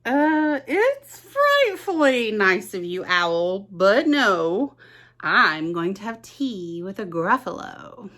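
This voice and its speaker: A woman voicing a small, clever mouse in a picture book read aloud, speaking politely in a squeaky voice. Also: sing-song, takes it slowly, a bit bright.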